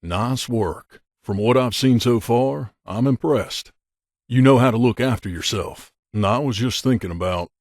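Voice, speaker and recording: Man playing a low-voiced, gruff grizzled mercenary. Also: a little mellow, dry recording, clean studio sound, natural voice. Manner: gruff, curt, world-weary. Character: grizzled, guarded male mercenary bodyguard, veteran wanderer. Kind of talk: video game companion dialogue.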